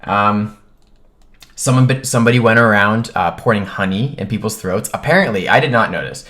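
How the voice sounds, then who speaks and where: A man's voice: fairly low pitch, a little fast. A man talking casually as a vlogger, telling a personal story and acting out the remarks he quotes. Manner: animated retelling, acts out quoted remarks.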